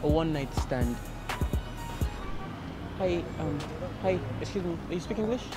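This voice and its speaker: A man's voice: a little high, expressive, measured pace, loud music behind the voice. A young man hosting a casual street interview outdoors, playfully approaching strangers with friendly questions. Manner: playful, loud shouts to camera, then friendly approach to strangers. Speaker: street interviewer and prank host. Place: outdoors on city streets and a harbor.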